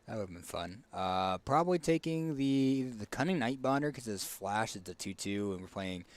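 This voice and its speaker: A man with a raspy, hoarse voice casually commenting on a card game as he picks cards, thinking aloud with fillers and restarts. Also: on the low side, animated, moderate pace. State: hoarse, tickly throat. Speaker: streamer.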